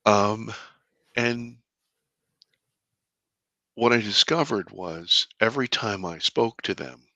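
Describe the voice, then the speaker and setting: Deep-voiced male interview guest, earnest storyteller, low pitch, bright forceful tone. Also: dry recording, dull audio. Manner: earnest, halting with restarts, bursts of excitement. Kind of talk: conversational storytelling.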